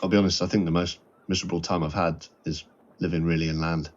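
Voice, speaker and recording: A man's voice: low-pitched, level tone, fast talker. A middle-aged man reminiscing casually and chattily, repeating phrases for emphasis. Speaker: interviewee sharing memories. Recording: dull, slightly lo-fi sound.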